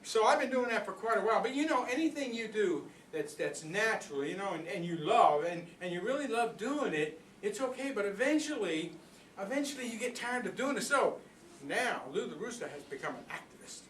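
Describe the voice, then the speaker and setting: A man's voice: high-pitched, expressive, brisk, a bit bright. Distant, noisy recording, older man as proud old rooster, folksy storyteller, earnest, rambling, philosophical, comic stage monologue. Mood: proud, reflective. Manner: folksy, rambling, conversational storytelling with repeated phrases. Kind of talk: comic monologue performed live for an audience. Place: indoor workshop room before a group. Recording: echoey room, distant mic, background noise.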